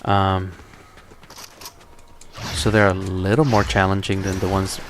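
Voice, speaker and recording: Low-voiced man rambling over live gameplay. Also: takes it slowly, noisy background. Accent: non-native English accent. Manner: relaxed, rambling with frequent restarts. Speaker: tech streamer.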